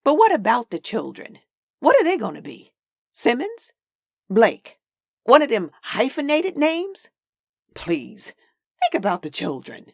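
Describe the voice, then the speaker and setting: Feisty, high-pitched old grandma. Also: female voice, wildly swooping pitch, measured pace, gravelly, pauses often, slightly rough, dry recording, clean studio sound, exaggerated voice. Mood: fretful, nagging. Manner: pleading, scolding. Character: feisty, nagging, elderly grandmother. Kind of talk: comic dub character acting.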